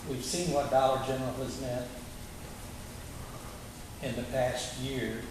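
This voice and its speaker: A man, the town mayor, answering candidate questions in a plain, halting way. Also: medium pitch, little pitch movement. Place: community center hall. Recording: echoey hall from far off, noisy.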